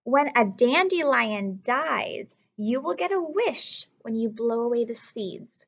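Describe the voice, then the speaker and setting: A woman teacher reading aloud and explaining simply to young children, often putting questions to the listener with emphatic, drawn-out storytelling delivery. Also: on the high side, animated, muffled recording.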